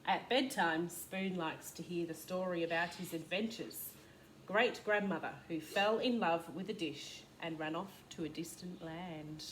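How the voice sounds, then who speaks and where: A woman reading a storybook aloud as a kindergarten teacher, expressive, with questions and asides to young listeners. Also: lowish voice, measured pace, rough recording. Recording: clear background noise.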